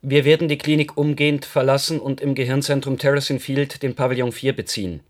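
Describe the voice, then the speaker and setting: An actor in a German radio play, playing a cold astronaut captain who gives emotionless orders and threats. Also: male voice, medium pitch, fairly even intonation, fast talker, natural voice. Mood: cold, commanding. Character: cold, commanding astronaut captain under alien influence.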